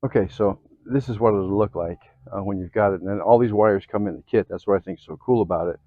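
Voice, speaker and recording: An American man giving a casual, folksy how-to, often checking in with a quick okay or all right. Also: fairly low pitch, no room sound, narrow, tinny audio. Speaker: host of an off-road vehicle DIY channel. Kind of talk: casual how-to chat to camera.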